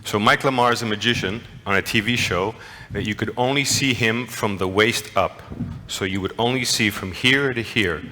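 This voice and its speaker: A man gives a lively lecture from a stage, playful and engaging as he quizzes the crowd. His voice is bright and forceful, set fairly low. The sound rings with the long reverb of a large hall. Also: normal speed, few pauses, a little hiss or hum. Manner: playful, engaging, asks the audience questions. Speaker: conference lecturer. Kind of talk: interactive lecture to an audience.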